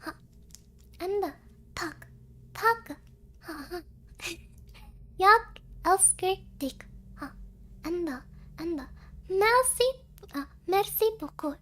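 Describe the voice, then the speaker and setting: A woman's voice: very high voice, animated, very slow, long pauses, fairly bright tone. A woman with a cutesy, childlike voice chatting on a livestream, hesitant and flustered, stammering and repeating herself. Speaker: streamer thanking donors. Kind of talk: casual livestream chat.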